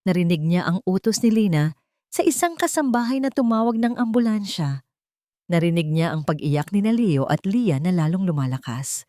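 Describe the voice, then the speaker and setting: A woman narrates an audio drama in a fairly low voice, close and dry. Her delivery is solemn and emotive, with deliberate pauses for dramatic weight. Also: expressive, mellow, no echo. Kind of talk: dramatic scripted storytelling narration.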